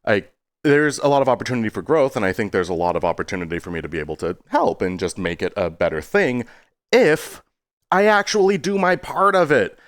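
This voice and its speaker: A man's voice: medium pitch, brisk, no room sound, studio quality. A man giving a casual, rambling video update in a self-deprecating way, stopping and starting and slipping into exaggerated tones.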